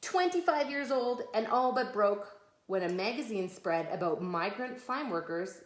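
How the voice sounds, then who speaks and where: A woman's voice: medium pitch, animated, moderate pace. A woman reading a picture book aloud as a mother to children, explaining things patiently in simple, conversational words. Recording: echoey room.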